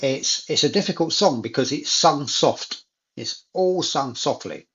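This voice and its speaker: Higher-voiced guy just chatting, keen, repeats himself to make a point, muffled lo-fi sound. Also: lively intonation, unhurried, a bit bright. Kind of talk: casual chat.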